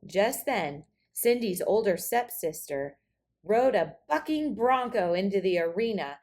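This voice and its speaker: Woman, children's read-aloud, slow pace, very lively intonation, dramatic storytelling. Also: mid-range voice. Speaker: storybook reader.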